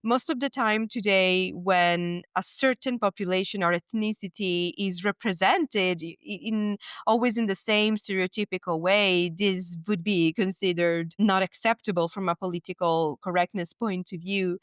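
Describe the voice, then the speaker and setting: A woman with an Italian accent hosting a podcast, talking thoughtfully in English with pauses and small laughs. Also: medium pitch, fairly even intonation, smooth, dry recording, clean studio sound. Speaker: podcast host. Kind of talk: reflective commentary.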